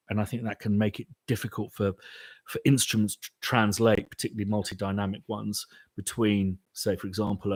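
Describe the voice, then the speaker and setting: A man's voice: fairly low pitch, dry recording. A British man answering viewers' questions in a casual live chat, rambling with many restarts and fillers. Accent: British English. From Britain. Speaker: composer hosting a live Q&A.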